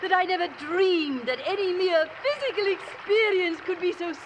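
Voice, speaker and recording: Pious determined spinster, high pitch, formal genteel diction, old-time radio drama, faint recording noise. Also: female voice, expressive, normal speed, poor audio. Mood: thrilled. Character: prim, pious, determined, fearless spinster lady. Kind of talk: classic radio drama acting.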